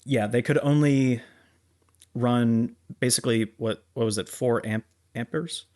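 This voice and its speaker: A man's voice: medium pitch, a little slow, darkish tone. A man co-hosting a podcast, discussing film in a casual, explanatory way. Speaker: podcast co-host.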